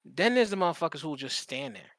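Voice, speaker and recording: A man's voice: fairly high pitch, very animated, fast talker, fairly bright tone, dry recording, clean studio sound. A man chatting casually as a podcast host, telling stories in an emphatic, profane way and repeating phrases for effect. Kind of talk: casual chat, animated storytelling.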